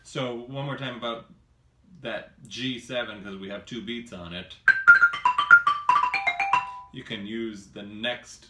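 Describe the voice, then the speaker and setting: A man's voice: fairly low pitch, steady tone, takes it slowly, pauses often, over loud music. A man in his thirties teaching xylophone at home, musing aloud with hesitant fillers as he demonstrates. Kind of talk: casual demonstration lesson. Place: home kitchen, indoors. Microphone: camera across the room. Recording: roomy kitchen sound from a distance. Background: xylophone playing.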